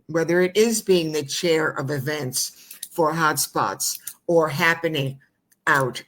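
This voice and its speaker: A woman hosting a talk show, introducing her guest at length with effusive praise and some hesitant fillers. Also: low voice. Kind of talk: interview introduction.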